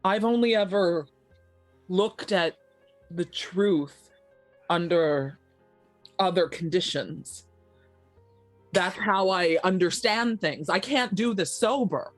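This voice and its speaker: A woman's voice: on the low side, expressive, slow, long pauses, dry recording, faint music behind. A woman playing a tabletop game, improvising in character. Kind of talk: in-character improvised role play.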